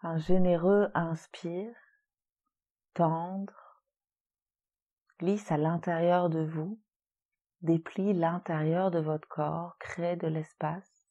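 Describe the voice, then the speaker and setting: A woman leading a guided relaxation meditation in a soothing, gentle, unhurried manner with long pauses. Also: on the low side, steady tone, highs cut off. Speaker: yoga nidra relaxation guide.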